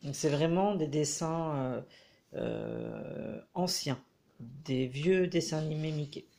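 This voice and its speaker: A man with a head cold giving a casual, hesitant review of a book as he leafs through it, pausing often. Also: a little high, a little mellow. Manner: hesitant, frequent filler pauses.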